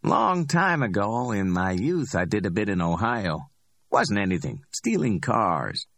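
A man's voice: on the low side, big pitch swings, gravelly, fairly bright tone, no echo, full character voice. A man voicing a cocky middle-aged hustler who teases and drawls his words. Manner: teasing, drawn-out, challenging.

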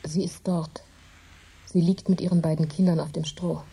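A woman's voice: fairly low pitch, level tone, normal speed, gravelly, slightly rough, heavily acted. An actress playing a grief-stricken, embittered poor farm widow, telling her story intensely and dramatically in a radio play. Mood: hushed, trancelike. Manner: intense, dramatic, emphatic storytelling with hushed drops. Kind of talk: German radio drama monologue.

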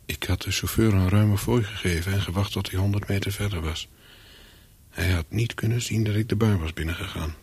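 A calculating, hunted spy tells his own story in a radio play. His voice is low and heavily husky, and he speaks in short, brooding sentences. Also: fairly even intonation, brisk, darkish tone, dry recording. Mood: watchful. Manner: terse, brooding, clipped sentences. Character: wary, calculating male spy on the run, narrating. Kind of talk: first-person radio play narration with dialogue.

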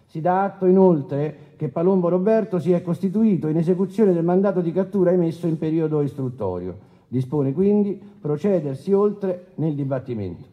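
A man's voice: a little high, lively intonation, normal speed, highs cut off, natural voice. An actor playing a brusque male presiding judge in a radio courtroom drama, formally and insistently questioning a defendant. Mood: formal, stern. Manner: insistent, interrupting, official.

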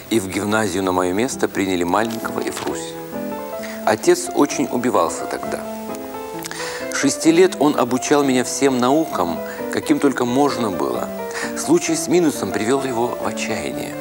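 A man reads a first-person literary story as a dramatic narrator, with expressive, deliberate phrasing. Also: medium pitch. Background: music.

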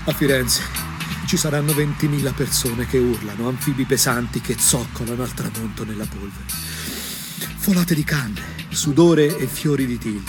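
A man with a Tuscan accent and a husky voice performs an ironic, angry, emphatic theatrical monologue for a punk radio drama. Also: mid-range voice, normal speed. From Anghiari, Tuscany, Italy. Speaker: stage actor and storyteller. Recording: music under the voice. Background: music.

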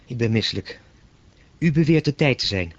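A man's voice: medium pitch, expressive, moderate pace, dry recording, highs cut off. A man playing a bewildered, uneasy ordinary man in a radio play, asking hesitant, sceptical questions. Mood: unsettled, upset. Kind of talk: naturalistic radio drama dialogue.

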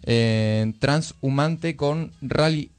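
A man with an Argentine accent co-hosting a radio show in a casual style, with some halting delivery. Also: medium pitch, a little mellow. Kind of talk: casual radio talk and reading aloud. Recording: music playing under the voice. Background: background music.

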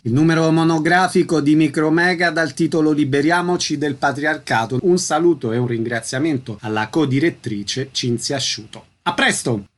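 A man's voice: a little high, normal speed, hardly any pauses. A man hosting a radio show in a concise, orderly, scripted style. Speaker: radio host. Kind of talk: scripted radio interviewing and presenting.

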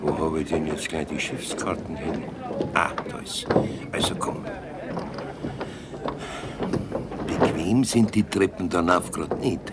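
An actor playing a gruff, kindly older craftsman with a Bavarian accent, muttering and hesitant, in a radio play. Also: sits low, normal speed, heavy background noise. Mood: grumbling. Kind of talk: 1970s radio play acting.

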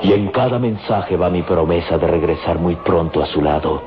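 A man's voice: low-pitched, fairly even intonation, close to an everyday voice. An actor playing a solemn, devoted Egyptian man in an old radio serial, speaking reverently and declaiming in style. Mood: tender devotion. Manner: slow, declamatory, reverent delivery. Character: solemn, devoted Egyptian man in love, loyal to his people. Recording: dull old radio recording with music. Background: prominent dramatic music.

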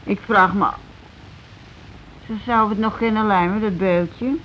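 An actress in a radio play as a shaken working-class housewife who speaks haltingly, repeats herself and falters mid-sentence. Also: female voice, medium pitch, fairly even intonation, lightly acted. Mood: flat, stunned. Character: shaken working-class housewife circling around grim news. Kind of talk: naturalistic radio play dialogue. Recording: muffled, noisy old radio broadcast recording.

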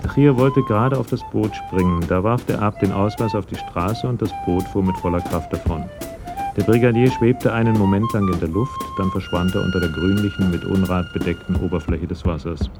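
Male narrator for radio crime story; low, creaky voice, scripted read with music underneath. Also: wildly swooping pitch, brisk. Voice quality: gravelly.

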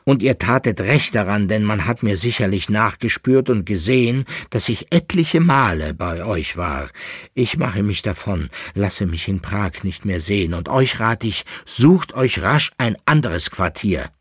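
A man's voice: fairly low pitch, expressive, measured pace, breathy edge, no room sound, clean studio sound. A man voicing a distraught fugitive in an audiobook story, wailing and agitated, full of exclamations and pleading questions. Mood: agitated, warning.

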